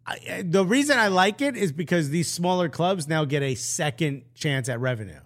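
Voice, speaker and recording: A man's voice: a little high, animated, moderate pace, a bit bright. A man co-hosting a casual soccer podcast, bantering with jokes and slang. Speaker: podcast co-host.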